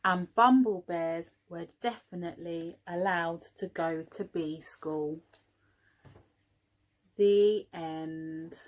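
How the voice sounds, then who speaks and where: A woman reading a picture book aloud to young children, expressively, pausing for little asides to the listeners. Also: on the low side. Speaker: early years teaching assistant reading a storybook.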